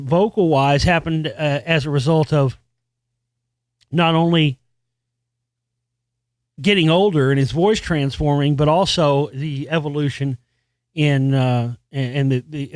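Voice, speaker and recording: Guy rambling on his podcast, kinda high voice, swears a lot, dry close mic. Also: dry recording. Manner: rambling, with restarts and casual swearing; experienced singer with a high singing voice. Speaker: podcast host. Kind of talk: casual solo monologue about music.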